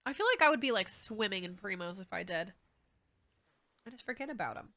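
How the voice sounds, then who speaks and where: A woman's voice: medium pitch, expressive, brisk, sharp tone. A woman streamer chatting casually and playfully, with exclamations, as she reacts to a game.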